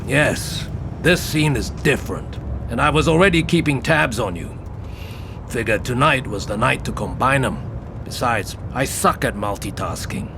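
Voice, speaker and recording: An actor playing a gruff, jaded, hard-drinking senior detective in an audio drama, blunt and terse. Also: male voice, mid-range voice, lively intonation, normal speed, noisy background, lightly acted. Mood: dry, brooding. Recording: music behind the voice.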